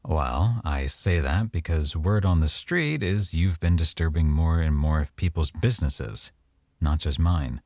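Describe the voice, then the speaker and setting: Male actor as young mafia boyfriend, very deep voice, dark soft tone, teasing, coy, quietly menacing, unhurried, intimate ASMR roleplay. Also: lively intonation, no room sound, clean studio sound, exaggerated voice. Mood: casual, teasing.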